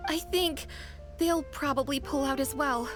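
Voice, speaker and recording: An earnest young shop assistant, polite and eager to please her employer. Her voice is high and bright, with game music running under it. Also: unhurried. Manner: polite, deferential.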